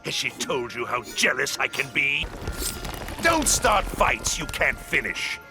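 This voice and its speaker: An actor playing a manic, cackling villain clown, theatrical and mocking, with sinister drawn-out taunts. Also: male voice, medium pitch, very animated. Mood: sly taunting. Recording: game music behind the voice.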